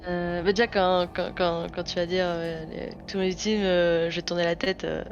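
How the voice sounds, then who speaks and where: A woman's voice: lowish voice, little pitch movement, moderate pace, soft background music. A woman playing a tabletop game who stammers and repeats words as she describes her character. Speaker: player. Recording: dull lo-fi mic.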